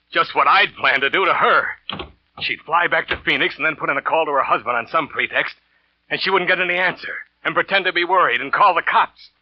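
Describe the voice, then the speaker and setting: An actor playing a scheming, vengeful lover in a 1940s radio thriller, narrating in a tense, hard-boiled way. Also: male voice, fairly high pitch, a little hiss or hum, lightly acted. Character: scheming, vengeful male lover in a murder plot, bitter and desperate. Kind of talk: 1940s radio suspense acting, first-person narration. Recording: dull old radio recording with music.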